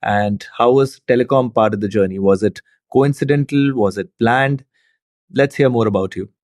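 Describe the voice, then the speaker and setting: A man's voice: mid-range voice, normal speed, no echo, clean studio sound. A middle-aged man hosting a podcast, reading a formal introduction and asking interview questions in a polished, courteous way. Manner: polished, formal, with warm praise. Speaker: podcast host.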